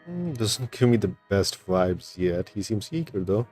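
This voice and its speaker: A man's voice: on the low side, sing-song, unhurried, darkish tone, breathy edge, faint music behind. A man streaming a video game, giving casual playthrough commentary as he reacts to the story.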